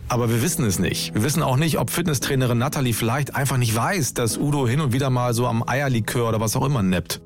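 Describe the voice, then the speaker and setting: A man's voice: medium pitch, fast talker, few pauses, faint music behind. A man hosting a documentary podcast, telling the story in scripted narration and laying out questions one by one.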